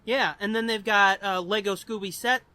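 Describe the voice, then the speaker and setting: A man's voice: high voice, steady tone, normal speed, pushed and bright, smooth, no echo. A man in his twenties telling a story on a podcast in a rambling, casual way, swearing often. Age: 20s. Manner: profane and rambling. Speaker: podcast co-host. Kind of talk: casual chat.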